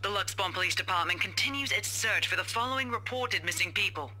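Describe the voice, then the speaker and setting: Sharp, bright radio announcer. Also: male voice, high-pitched, animated, fast, faint music behind, full character voice. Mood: brisk, formal. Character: formal radio news announcer. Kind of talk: radio news bulletin in a game.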